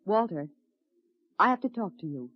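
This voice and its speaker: An actress playing a female scientist who lectures crisply and pleads when upset, in a vintage radio drama. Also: medium pitch, lively intonation, normal speed, background noise, natural voice. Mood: pleading. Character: female scientist, clinical turned tender. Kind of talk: 1950s radio drama acting. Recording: dry, muffled vintage radio broadcast.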